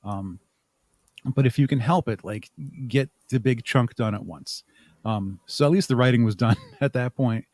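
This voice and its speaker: Man, medium pitch, interview guest, explanatory, example-driven, conversational, very little room echo. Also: normal speed, a little mellow. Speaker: interview guest, audio drama creator.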